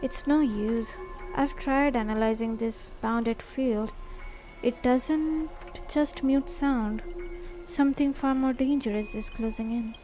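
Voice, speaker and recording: Slow, high-voiced woman, mellow tone. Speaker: game streamer reading story dialogue aloud. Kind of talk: live stream read-aloud of game script. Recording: faint game music behind. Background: faint music.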